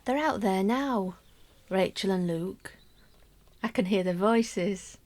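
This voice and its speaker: A woman's voice: medium pitch, takes it slowly, a little mellow, a little hiss or hum, natural voice. A woman acting a romantic, wry, middle-aged mother who confides her private yearnings in an intimate radio drama monologue. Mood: wistful, intimate. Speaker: actress in a radio play monologue.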